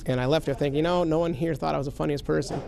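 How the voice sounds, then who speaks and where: A man's voice: on the high side, steady tone, creaky, darkish tone, a little hiss or hum. A man in his late thirties, a comedian, talking casually in an interview with self-mocking jokes. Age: 30s. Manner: self-mocking, quick joking asides.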